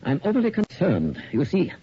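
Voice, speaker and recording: Husky, intense, courtly collector. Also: male voice, high voice, wildly swooping pitch, moderate pace, dark tone, heavily acted. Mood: intense, controlled. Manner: formal, precise, insistent. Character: intense, courtly, fanatical male camera collector. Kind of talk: 1980s radio drama acting. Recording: dull, lo-fi radio recording.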